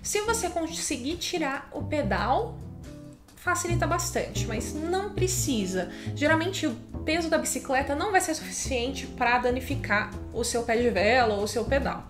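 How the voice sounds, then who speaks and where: A Brazilian woman in her thirties speaks in a high, bright register, explaining step by step in a chatty, friendly way and checking in with the listener often. She is seated at her home-office desk. Clear music plays underneath her voice. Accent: Brazilian Portuguese. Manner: chatty, explanatory, frequent "né?" and "tá?" tags. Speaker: channel host. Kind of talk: casual explainer to camera.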